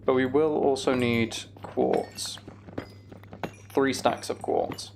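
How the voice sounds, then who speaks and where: Gravelly man chatting over a game. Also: medium pitch, fairly even intonation, takes it slowly, background noise. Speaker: game streamer hosting a live chat stream. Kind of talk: casual gaming commentary and chat.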